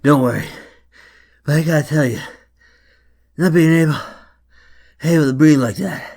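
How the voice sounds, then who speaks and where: A man playing a wisecracking, easygoing vagrant storyteller in a comic dub, with a creaky, gravelly voice. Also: a little high, moderate pace, a little mellow. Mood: rattled, joking.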